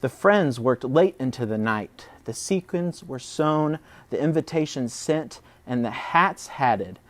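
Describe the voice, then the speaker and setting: Animated man reading a children's storybook. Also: medium pitch, slow pace. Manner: expressive, dramatic phrasing. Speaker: preschool storybook reader.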